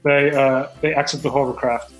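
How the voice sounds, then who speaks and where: A man in a comedic table read, halting and self-important, defensively presenting his screenplay and reading stage directions. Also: mid-range voice, level tone, fairly bright tone. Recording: roomy echo, music behind.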